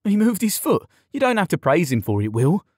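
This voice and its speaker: Guy reading as cocky bully stable lad, mocking, bouncy pitch, audiobook style. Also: very animated, brisk, darkish tone, husky, no room sound, clean studio sound, full character voice. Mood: taunting. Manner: taunting, sneering. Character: mocking, insolent stable boy, bullying and gleeful.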